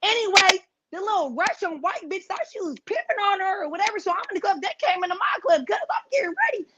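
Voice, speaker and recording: A woman with a high voice tells a story in loose, profane podcast chat. She is animated and acts out the people she quotes. The audio sounds a little dull and lo-fi. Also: fast talker, very noisy. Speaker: guest.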